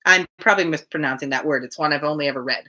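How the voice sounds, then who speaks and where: A woman reading a novel aloud on a livestream in an expressive storytelling way, with casual asides. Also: deep voice, very fast. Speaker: reader hosting a daily livestream.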